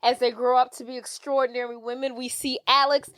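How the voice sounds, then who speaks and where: A woman co-hosting a podcast, recapping a movie in a playful, animated, joking way. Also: high-pitched, a little fast, no room sound, clean studio sound. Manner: playful, animated, jokey retelling. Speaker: podcast co-host. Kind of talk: casual movie recap chat.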